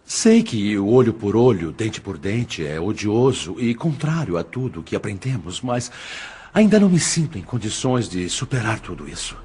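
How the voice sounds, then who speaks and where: Man acting a husky, troubled protective father. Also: fairly low pitch, very animated, normal speed, a little mellow, heavily acted. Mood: bitter, troubled. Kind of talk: audiobook drama dialogue.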